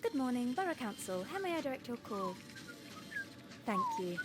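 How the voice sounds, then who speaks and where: A woman's voice: sits high, big pitch swings, moderate pace, very noisy. An actress playing a sardonic female council receptionist with a dry, pointed manner in a naturalistic audio drama. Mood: polite, professional.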